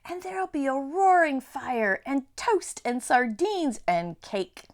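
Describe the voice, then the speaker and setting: A woman's voice: sits high, sing-song, slow pace, pitched above the performer's own voice, heavily acted. A woman voicing a polite, nervous male faun who speaks in courteous, old-fashioned phrases. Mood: coaxing.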